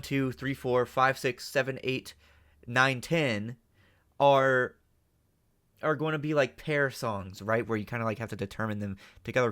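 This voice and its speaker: A man giving casual reaction commentary, thinking aloud in a halting, tentative, musing way. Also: medium pitch, a bit bright, dry recording. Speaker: host of a reaction channel.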